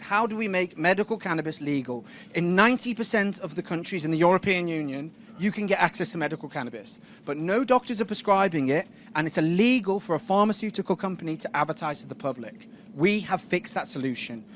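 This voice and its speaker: A man giving a loud, confident startup pitch on a moving tram, bantering with hecklers. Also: a little hiss or hum.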